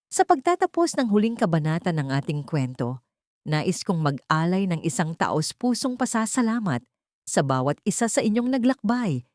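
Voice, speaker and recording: A woman's voice: fairly low pitch, sing-song, normal speed, a little mellow, no room sound, studio quality. A woman narrating a scripted dramatic story in a formal, sentimental storytelling manner. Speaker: audiobook narrator.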